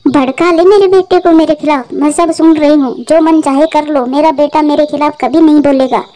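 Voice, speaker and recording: A woman's voice: high voice, fairly even intonation, moderate pace, dark tone, natural voice. A woman playing a domineering, scolding old mother-in-law bird in a Hindi cartoon. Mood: spiteful. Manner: bossy, threatening.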